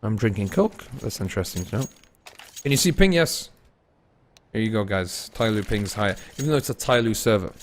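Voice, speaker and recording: A young man casting an esports match, laid-back and tired between plays, rising to fast, excited calls when the action heats up. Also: lowish voice, a little hiss or hum. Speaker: esports caster. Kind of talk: live esports commentary mixed with chatting to viewers.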